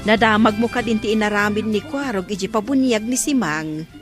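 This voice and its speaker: A woman plays a gossipy, reproachful Ilocano neighbour in a comedy drama. She speaks at an average pitch with an Ilocano accent, and music plays softly behind her. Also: brisk, natural voice. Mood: gossipy, disapproving.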